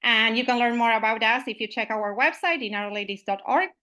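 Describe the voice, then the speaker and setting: A woman's voice: a little high, a little fast, bright, forceful tone. A woman from Uruguay, opening an online meetup as its host, friendly and full of "uh" and "I mean" fillers, over a video call microphone.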